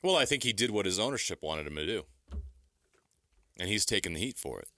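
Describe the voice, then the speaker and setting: A man's voice: deep voice, very animated, brisk, pushed and bright, no echo. A man with an American accent co-hosting a sports radio show, plain-spoken and conversational. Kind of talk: casual sports talk discussion.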